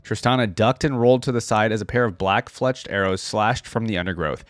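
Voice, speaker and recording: A man reading a story aloud with expressive storytelling and reacting to it as a reaction video host. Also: lowish voice, fairly quick, no room sound.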